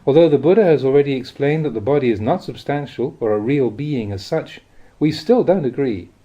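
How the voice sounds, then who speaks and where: A man reads a spiritual teaching aloud in a measured, expressive, explanatory way, like an audiobook. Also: medium pitch, muffled recording. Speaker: reader of Buddhist teachings. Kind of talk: audiobook reading of a spiritual talk.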